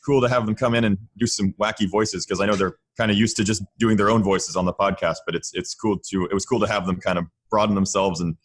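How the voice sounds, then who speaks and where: A man from Florida chatting casually and haltingly as a podcast guest. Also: on the low side, fairly even intonation. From Tampa, Florida. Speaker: podcast guest, audio drama creator. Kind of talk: casual interview chat.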